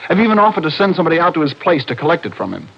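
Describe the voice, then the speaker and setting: A man's voice: a little high, big pitch swings, very fast, gravelly, full character voice. An American actor playing an affable, slightly exasperated insurance man with a chummy, slangy manner in an old-time radio drama. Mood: explaining, exasperated. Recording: muffled vintage radio recording.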